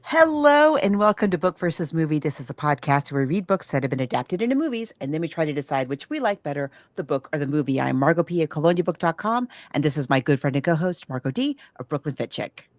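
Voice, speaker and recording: A woman's voice: low voice, very animated, fast, few pauses, no room sound. A woman co-hosting a podcast in a chatty, emphatic, casual way. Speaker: podcast co-host.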